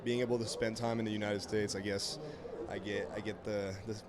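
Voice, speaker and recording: A man's voice: lowish voice, little pitch movement, brisk. A young man from Quebec whose first language is French, speaking in a casual, earnest and somewhat hesitant way as a guest in a ballpark broadcast booth. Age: young adult. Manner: earnest, hesitant, restarts sentences. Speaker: guest player. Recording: loud crowd behind.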